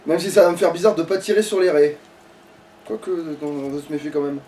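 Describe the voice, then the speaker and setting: A man's voice: fairly high pitch, a little fast, warm tone, far from the mic, a little hiss or hum. A man giving casual, slangy gaming commentary as he plays, grumbling and swearing freely. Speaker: let's play streamer playing the game.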